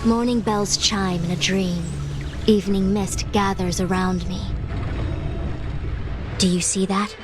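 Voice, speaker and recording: A woman playing a composed, authoritative diviner and strategist in a video game, speaking formally and in measured, solemn phrases. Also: medium pitch, fairly even intonation, natural voice. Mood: grave. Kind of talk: scripted video game dialogue. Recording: loud music under the voice.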